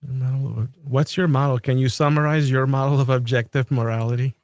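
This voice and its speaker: A man from Colombia speaks in an unhurried, thoughtful way in a casual podcast chat, his voice at an ordinary middle pitch. The sound is dry and close, with no room around it. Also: steady tone, darkish tone, no room sound. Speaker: podcast host. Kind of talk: casual conversation.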